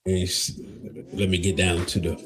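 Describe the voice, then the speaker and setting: A man hosting a live commentary show, talking slowly with many pauses and ums, and going hoarse. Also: sits low, little pitch movement, a bit bright, soft background music. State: losing his voice. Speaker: livestream host. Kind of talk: casual commentary reading news aloud.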